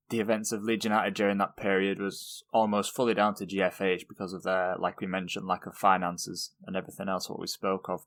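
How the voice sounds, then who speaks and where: Man, co-host, low voice, plain direct questions. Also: fairly bright tone. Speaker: podcast co-host. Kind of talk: casual interview questions.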